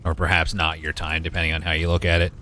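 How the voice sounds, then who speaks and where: A man in his twenties with a deep voice gives casual, wry live gaming commentary and talks back to his chat. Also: completely flat delivery, quick pace, bright, forceful tone, a little hiss or hum. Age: 20s. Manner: wry, joking, mild swearing, talks to chat. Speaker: game streamer.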